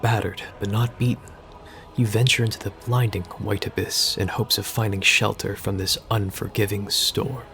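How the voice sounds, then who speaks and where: A man's voice: fairly low pitch, fairly even intonation, moderate pace, gravelly, pushed and bright. A young man from the United States, giving a scripted class speech in a casual, wry way with slangy asides. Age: young adult. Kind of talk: recorded speech, scripted but jokey.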